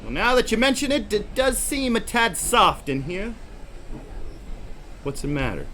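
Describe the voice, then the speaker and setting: A man puts on a self-assured western peddler for an audio drama. His formal patter is high and very animated, with train noise behind. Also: measured pace, exaggerated voice. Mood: puzzled. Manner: showy, formal salesman patter. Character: smooth-talking, self-assured Old West traveling salesman.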